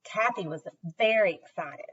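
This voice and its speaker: A woman reading a children's story aloud with expressive, deliberate emphasis. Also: mid-range voice, slightly rough. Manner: slow, animated, deliberate emphasis. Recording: dull, distant microphone.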